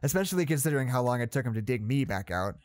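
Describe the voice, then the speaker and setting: Audio drama role, male actor: well-meaning male psychologist, nervous, halting, forcedly upbeat, swinging intonation, dry close sound. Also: mid-range voice, lively intonation, no room sound, close to an everyday voice. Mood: shaken, rambling. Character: anxious, rambling, well-meaning male psychology department head. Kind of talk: naturalistic audio drama monologue, spoken log.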